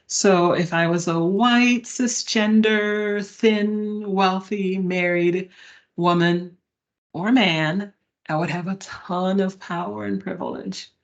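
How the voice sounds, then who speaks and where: A woman's voice: medium pitch, fairly even intonation, slow pace, muffled recording. A middle-aged woman giving a webinar talk, conversational and emphatic, often checking in with her listeners. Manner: conversational, emphatic, addresses audience with "y'all" and "right?". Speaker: presenter. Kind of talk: webinar presentation.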